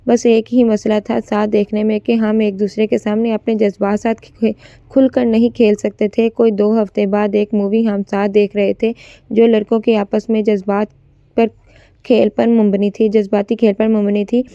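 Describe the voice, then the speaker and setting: A woman's voice: medium pitch, little pitch movement, moderate pace, dark tone, no echo, lo-fi sound. A woman narrating a scripted first-person story, occasionally stumbling as she reads.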